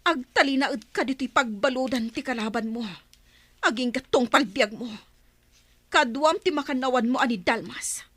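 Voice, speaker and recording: Lady playing strict young chief's daughter, filipino accent, high sharp voice, dry sound, radio drama. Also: moderate pace, no room sound. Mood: reproachful. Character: stern, principled chieftain's daughter, young tribeswoman.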